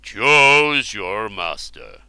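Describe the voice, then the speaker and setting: A man voicing a commanding, mighty king in a storybook reading, speaking slowly and weightily. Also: on the low side, very animated, pushed and bright, smooth, no echo, full character voice. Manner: slow, weighty and measured.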